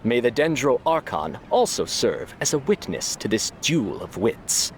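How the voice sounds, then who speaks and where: A man's voice: mid-range voice, big pitch swings, a little slow, a little hiss or hum. A man playing a solemn, formal scholar who presides as adjudicator in a video game. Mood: ceremonious. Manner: formal, declamatory. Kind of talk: video game dub acting.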